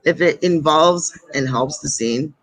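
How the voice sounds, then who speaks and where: A woman with a deep voice, a blunt and heavily swearing guest chatting casually about metal. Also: a little slow, dry recording, poor audio. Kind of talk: casual chat.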